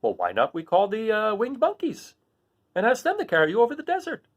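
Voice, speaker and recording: A man voicing a proud, thoughtful living scarecrow in a children's storybook, in an animated, earnest lilt. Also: high voice, a little fast, pitched above the performer's own voice, heavily acted. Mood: pondering. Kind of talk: children's storybook read aloud.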